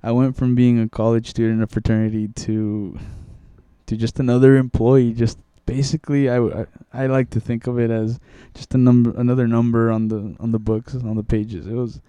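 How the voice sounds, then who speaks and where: Rambling young man, smooth dry voice. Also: mid-range voice, level tone, normal speed, dark tone, dry recording. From Mexico. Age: young adult. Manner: rambling, many restarts and fillers. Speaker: interviewee. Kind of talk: casual conversational interview.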